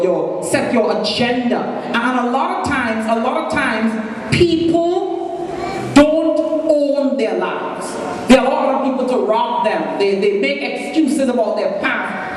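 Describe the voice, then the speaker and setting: A man with a Trinidadian accent giving a punchy, joking motivational talk to an audience, repeating phrases for emphasis. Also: extremely high pitch. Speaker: motivational speaker. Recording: echoey hall from far off, noisy.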